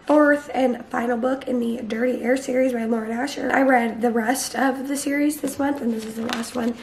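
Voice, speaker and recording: A young woman vlogging casually to camera from her bedroom about her reading, hoarse and losing her voice from a cold, chatty with lots of fillers. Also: fairly high pitch, fairly even intonation, moderate pace, reverberant, a little hiss or hum, low-quality recording. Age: young adult. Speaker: book vlogger. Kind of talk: casual vlog chat to camera. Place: small bright bedroom.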